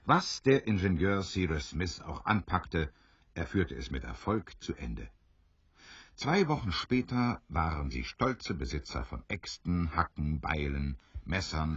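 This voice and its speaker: A man narrating an audio drama like a storybook, measured and expressive in his scripted German storytelling. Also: very deep voice, husky, dry recording. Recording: dry, slightly dull studio recording.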